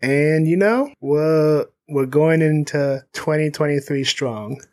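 A man who hosts a podcast, chatting casually about his plans in a relaxed, halting way with slang. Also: a little high. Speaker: podcast host being interviewed. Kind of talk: casual chat.

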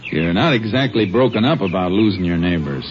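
An actor playing a calm, sharp-eyed Texas Ranger investigator, clipped and probing, in 1950s western radio drama style. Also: male voice, low-pitched, fairly quick. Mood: brisk, probing. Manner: clipped, probing, matter-of-fact. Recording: dull, noisy vintage radio recording.

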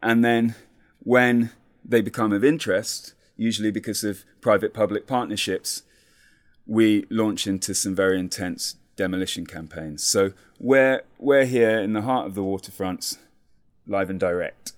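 A man calmly describing his city as a guest on a talk program, speaking in a measured, descriptive way. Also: lowish voice. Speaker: community radio guest. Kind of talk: conversational explanation.

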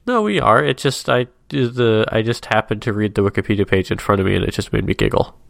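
Low-voiced stuffy man in casual banter. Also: fast talker, vocal fry, no echo, studio quality. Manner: wry, rambling, easily amused. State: stuffy nose. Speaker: podcast co-host. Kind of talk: casual chat, describing a comic.

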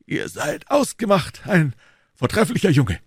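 A man's voice: a little high, animated, normal speed, breathy edge, no room sound, studio quality, voice pushed up. A man voicing a noble, gallant musketeer in an audiobook, with a stately, emphatic and chivalrous manner. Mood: admiring. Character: noble, gallant male musketeer, gracious leader of comrades.

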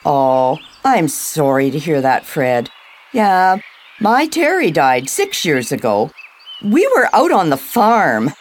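An actress playing a chatty, friendly older widow who lives in a seniors' residence, in an audio drama. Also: female voice, sits low, huge pitch swings, slow, slight background noise, full character voice. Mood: excited, nostalgic.

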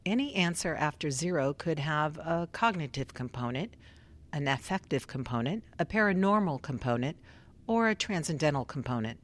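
An actress plays a composed woman doctor running a study in a radio drama. Her voice is low for a woman, and her manner is formal, polite and methodical, as if working through procedure. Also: lively intonation, normal speed. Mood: procedural.

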